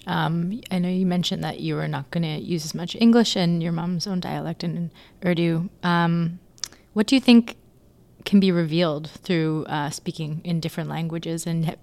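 Curious woman host with a lowish voice. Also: gravelly, darkish tone. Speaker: interview host. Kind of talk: casual interview questions.

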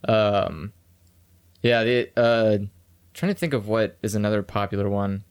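A man chatting casually on a podcast, animated and laughing often, about doing character voices. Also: unhurried, clear, resonant tone. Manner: animated, jumps into high put-on voices, laughs a lot. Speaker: co-host, voice actor for let's plays.

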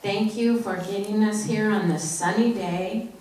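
A woman's voice: mid-range voice, steady tone, measured pace, crowd noise. A woman leading a children's message in church, talking informally and simply to children. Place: church, indoors. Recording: distant, very echoey, noisy church recording.

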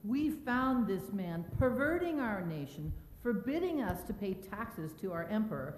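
Echoey, lively female accuser, read by a woman. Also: mid-range voice, measured pace. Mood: accusing. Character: accusing female member of the assembly. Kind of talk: dramatic scripture reading in church. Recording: echoey church hall, distant.

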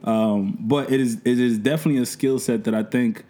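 Deep-voiced man telling stories casually. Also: brisk. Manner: relaxed, rambling storytelling with slang. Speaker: event host and podcaster. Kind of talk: casual podcast conversation.

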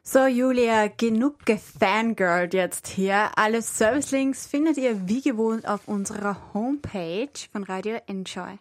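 A woman's voice: mid-range voice, unhurried, few pauses. A woman co-hosting a radio show in a playful, chatty way. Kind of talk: radio presenting.

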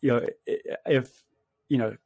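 A man's voice: mid-range voice, steady tone, a little slow, darkish tone, no room sound. A man from California hosting an interview, chatty, with rambling lead-ins before his questions. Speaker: host.

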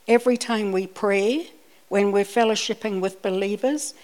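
A woman's voice: medium pitch, darkish tone. New woman preaching conversationally. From Scotland, raised in New Zealand. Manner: conversational, repeats words when restarting. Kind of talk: personal sermon with anecdotes.

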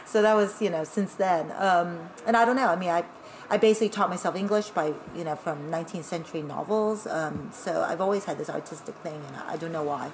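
A woman from Taiwan who grew up speaking Taiwanese, talking as a guest in a casual interview, animated and chatty with laughing asides. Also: fairly low pitch, fairly quick, a little hiss or hum, low-quality recording. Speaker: guest, burlesque performer.

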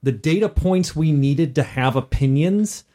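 Male gaming podcast co-host, voice a bit higher than average, conversational delivery. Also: measured pace, dark tone. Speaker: podcast co-host and tabletop gamer. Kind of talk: casual discussion of tabletop gaming.

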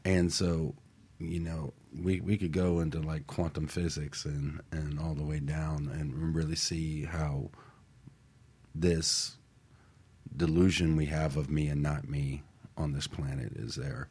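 A man's voice: extremely low pitch, level tone, a little slow, darkish tone, husky. A man in a casual radio discussion, explaining ideas step by step and often checking in with the listener.

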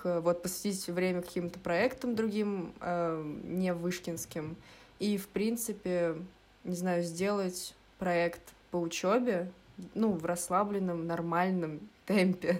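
A woman's voice: lowish voice, fairly even intonation, a little slow, a little mellow. A young woman speaking as a podcast guest in an easygoing, casual way. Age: young adult. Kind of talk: casual chat.

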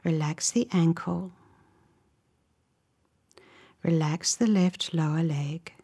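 A woman leading a guided relaxation meditation in a soft, breathy voice, giving gentle, soothing instructions in short, measured phrases. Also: low-pitched. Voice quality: soft, breathy, often near a whisper.

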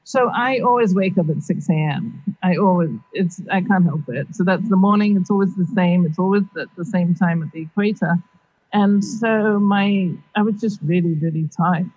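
A middle-aged woman from Kenya, a university teacher, answering interview questions over a video call, thoughtful and halting, with many fillers and frequent laughs. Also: lowish voice, a little mellow, slightly rough, no echo, dull audio.

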